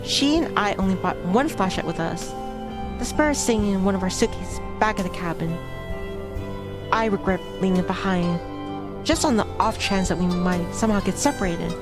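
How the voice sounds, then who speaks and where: A woman's voice: medium pitch, moderate pace. A woman streamer reading a visual novel aloud, with casual, chatty asides between passages. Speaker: VTuber streamer. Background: background music.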